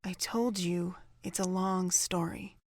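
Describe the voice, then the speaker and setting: A woman's voice: fairly low pitch, steady tone, slow pace, no room sound. A young woman acting a guarded, easily frustrated young woman in a fan audio drama. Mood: defensive.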